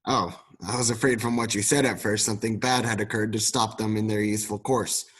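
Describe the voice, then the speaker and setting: A man's voice: fairly low pitch, fairly even intonation, fairly quick, a bit bright, husky. An actor in an amateur radio play, playing a grumpy, miserly old businessman who is curt and scornful. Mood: cold, dismissive.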